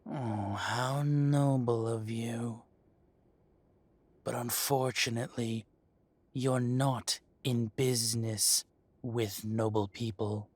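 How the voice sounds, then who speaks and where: A man plays a male dragon shifter who runs the mafia, in an audio roleplay. His voice is close and dry with a husky rasp, and he talks slowly. He is mock-polite and possessive, taunting the listener with quiet threat. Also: mid-range voice, steady tone, no room sound. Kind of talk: scripted roleplay audio drama, addressing the listener.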